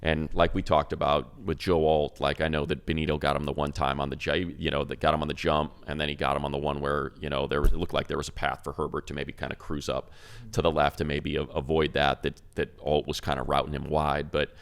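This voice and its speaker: A man's voice: extremely low pitch, little pitch movement, a little fast, creaky, clear, resonant tone. A man with an American accent giving casual football analysis as a co-host on a sports podcast.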